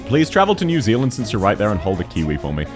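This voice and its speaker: Aussie guy streaming games, talks super fast, bouncy voice, chuckles constantly, bit of music quietly behind. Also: on the low side. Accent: Australian, unusual variety. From Australia. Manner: fast-talking, laughs often, animated. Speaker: game streamer. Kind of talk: casual live-stream chat, reading chat aloud while playing.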